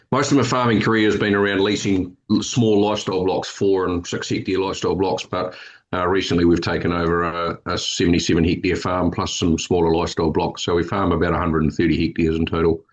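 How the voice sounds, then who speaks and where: Kiwi sheep farmer in his fifties, very low voice, plain and steady, lots of ums. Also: brisk. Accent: New Zealand. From New Zealand. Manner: steady, matter-of-fact, with frequent ums. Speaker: podcast guest, sheep farmer and scanner. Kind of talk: conversational interview.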